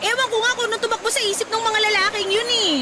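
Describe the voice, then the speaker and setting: An actress playing a fretful, suspicious young bride-to-be in a Filipino radio drama, whiny and complaining, with a Filipino accent. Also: female voice, very high-pitched, steady tone, rapid-fire, noisy background. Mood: worried. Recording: loud music bed under the voice.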